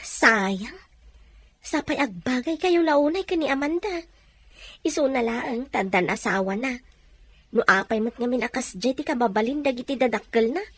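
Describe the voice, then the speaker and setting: A woman's voice: a little high, animated, moderate pace, fairly bright tone, no room sound. An actress playing an inquisitive, teasing young female friend in an audio drama. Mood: sympathetic. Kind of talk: Ilocano audio drama acting.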